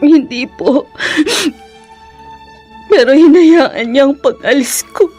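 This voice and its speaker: A woman playing an anguished young wife in a Filipino radio drama, speaking tearfully and haltingly as she pleads. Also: sits high, dark tone, lo-fi sound, faint music behind. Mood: sorrowful. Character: anguished young wife confessing shame to husband and father.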